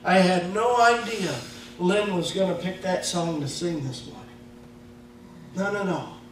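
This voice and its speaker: Midwestern man, pastor, high voice, slow emphatic sermon, heard from afar in a booming church with faint hum. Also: very echoey, recorded from a distance. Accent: Midwestern American. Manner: slow, emphatic preaching with casual grammar. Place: church sanctuary.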